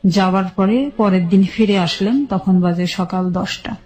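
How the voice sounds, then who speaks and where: A woman with a Bangladeshi accent shares her own story in a radio interview. Her voice is soft-edged and mellow, at an ordinary pitch. She recalls things in short, halting phrases. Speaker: guest telling her life story.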